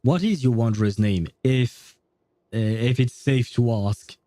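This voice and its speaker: A man's voice: medium pitch, measured pace, warm tone, no room sound, clean studio sound. A man chatting casually and a bit hesitantly with viewers as he hosts a gaming livestream, often rambling. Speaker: livestream host. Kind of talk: casual chat while streaming a game.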